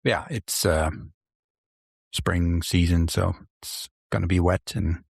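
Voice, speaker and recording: A middle-aged man with a slight Dutch accent, hosting a casual podcast chat in a soft, mumbling, soothing voice. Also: sits low, fairly even intonation, slow, vocal fry, breathy edge, no echo, clean studio sound. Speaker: podcast host.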